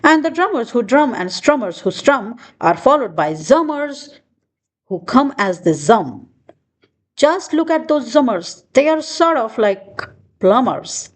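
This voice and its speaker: A woman reads a children's storybook aloud with a high voice. Her delivery is expressive and sing-song, swooping widely in pitch. She takes the rhymes slowly, giving each phrase room. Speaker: children's book reader.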